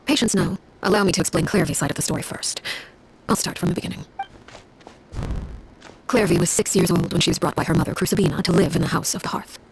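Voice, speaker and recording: An actress playing a cold, composed woman of high rank in a video game, telling grim history in a measured, formal, unsentimental way. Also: slight background noise, close to an everyday voice. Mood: composed, solemn. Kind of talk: scripted dramatic game dialogue. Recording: music behind the voice.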